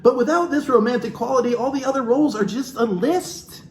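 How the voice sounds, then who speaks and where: A man's voice: extremely high pitch, fairly quick. A man reading a self-help book aloud in a theatrical, playful way, breaking off into wry, joking asides of his own. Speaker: comedic reader and commentator. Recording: echoey room with background hiss.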